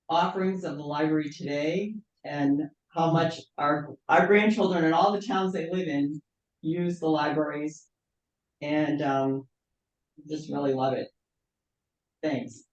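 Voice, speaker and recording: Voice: mid-range voice, darkish tone. An older townsperson casually introducing themselves and reminiscing, with fillers. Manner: rambling, reminiscing. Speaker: meeting attendee. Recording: dull, echoey, far from mic.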